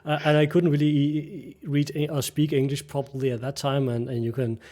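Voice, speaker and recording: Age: middle-aged. Sex: man. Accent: Danish. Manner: halting, restarts often, searches for words. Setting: video call from a bright home room. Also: a little high, little pitch movement. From Denmark. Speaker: guest. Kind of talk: casual interview chat.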